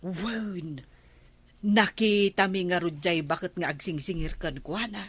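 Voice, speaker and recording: An actress playing a confiding, self-assured village gossip in an Ilocano radio comedy drama, with an Ilocano accent. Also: female voice, on the low side, measured pace, slightly rough, no room sound. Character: gossiping village woman, confiding and sure of herself.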